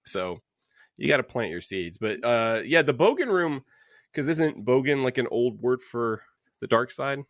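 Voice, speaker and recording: A man co-hosting a casual book club podcast, talking in an animated, rambling, joking way. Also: mid-range voice, unhurried, no echo. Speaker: podcast co-host.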